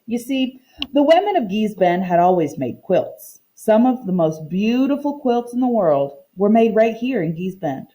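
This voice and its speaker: A proud, earnest older woman of a storybook , read out by a woman narrator, telling her community's history. The telling is measured and mellow, with expressive rises and falls. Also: medium pitch, lightly acted, rough recording.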